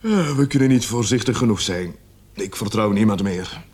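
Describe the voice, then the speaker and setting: Low-voiced, wary police sergeant. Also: male voice, animated, normal speed, darkish tone, husky. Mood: weary, resolute. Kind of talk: Dutch radio play acting.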